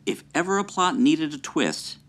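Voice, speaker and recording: A man speaking as a film scholar on a scripted podcast, theatrical and animated. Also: a little high, normal speed.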